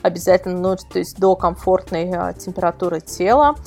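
A woman's voice: lowish voice, steady tone, brisk, mellow, faint music behind. A woman speaking as a podcast guest, explaining things casually and wordily, with many qualifiers. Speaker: invited guest, editor of an adult toy website.

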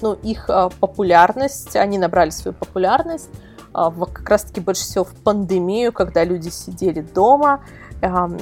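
Woman guest expert explaining stuff in detail w examples, normal pitch, music in the background. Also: darkish tone. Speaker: guest expert, editor of a product review site. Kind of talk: informal explanatory interview talk.

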